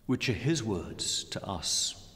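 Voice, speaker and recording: Slow-speaking man in an echoing hall. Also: lowish voice, sing-song, fairly bright tone. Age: middle-aged. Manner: solemn, measured, with long pauses between phrases. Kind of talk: reflective sermon and prayer. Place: large dim indoor room. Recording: long echoing reverb of a hall.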